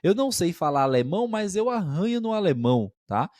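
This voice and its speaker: A man's voice: sits high, very animated, brisk, a little mellow, dry recording, clean studio sound. A man co-hosting a podcast as a language teacher, explaining expressions patiently and often checking that listeners follow.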